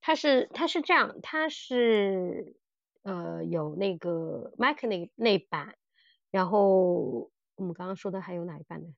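A woman co-hosting a casual podcast chat, telling stories in an animated way and often repeating her phrases. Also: fairly low pitch, unhurried, vocal fry, no echo, lo-fi sound. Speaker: podcast co-host. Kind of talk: casual chat about audio dramas.

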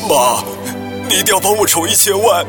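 An actor playing a desperate grown son begging for money in a radio drama. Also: male voice, sits high, animated, a little fast. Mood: desperate, pleading. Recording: music behind the voice.

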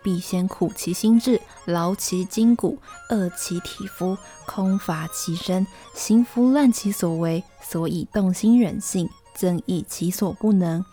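A woman's voice: fairly low pitch, measured pace, hardly any pauses, dark tone, breathy edge, faint music behind. A woman acting a bookish, analytical young housemate who speaks formally in a radio drama. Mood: solemn.

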